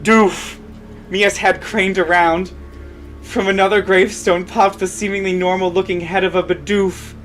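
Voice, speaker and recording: A woman's voice: medium pitch, level tone, normal speed, soft background music. A woman reading fan fiction aloud as a dramatic story narrator.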